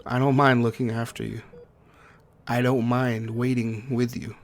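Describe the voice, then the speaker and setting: Guy acting out a heartbroken boyfriend type, soft-hearted n stammery, intimate roleplay, noisy background ambience. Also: medium pitch, flat delivery. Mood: tender, wistful. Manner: halting, stammering, tender. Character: grieving, guilt-ridden man tenderly tending his comatose sweetheart. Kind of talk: intimate audio roleplay monologue. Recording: clearly noisy track with ambience.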